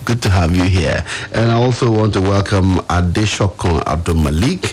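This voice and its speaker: A man's voice: low-pitched, normal speed, darkish tone, husky. A Nigerian man hosting a live radio talk show from a studio, speaking conversationally and putting questions straight to his listeners. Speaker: radio host.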